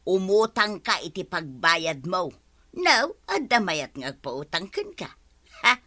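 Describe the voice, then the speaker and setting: A woman's voice: lowish voice, sing-song, takes it slowly, sharp tone, exaggerated voice. A woman with an Ilocano accent playing a nosy, advice-giving village woman in a radio comedy drama. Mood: animated. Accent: Ilocano, Philippines.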